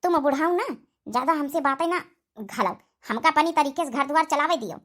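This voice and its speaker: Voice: sits high, expressive, a little fast, fairly bright tone. A performer voicing a bossy, scolding older village mother-in-law in an Awadhi comedy cartoon, domineering and sarcastic. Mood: indignant. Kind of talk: rustic comedy cartoon dialogue.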